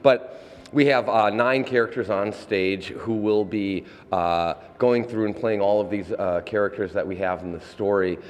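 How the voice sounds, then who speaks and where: A man's voice: fairly low pitch, moderate pace, in a large hall. A man explaining things as a theater director in an interview, wordy and somewhat hesitant.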